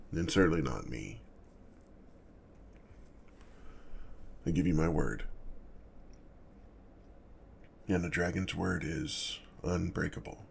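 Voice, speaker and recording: A man voicing an awkward, gentle, protective lonely dragon in a soft ASMR roleplay, with a deep gravelly voice and a hesitant, formal way of talking. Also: slight background noise, full character voice. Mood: soothing, protective. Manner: hesitant, self-conscious, halting, formal wording. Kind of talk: ASMR roleplay, speaking softly to the listener.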